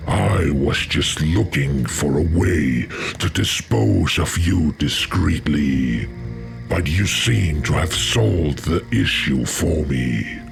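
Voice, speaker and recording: Game villain: cruel, scheming orc warlock, low-pitched and menacing, drawn-out delivery with big swings in tone. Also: male voice, lively intonation, exaggerated voice. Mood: cruel, gloating. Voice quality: gravelly. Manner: slow, menacing, mockingly polite. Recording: loud music under the voice.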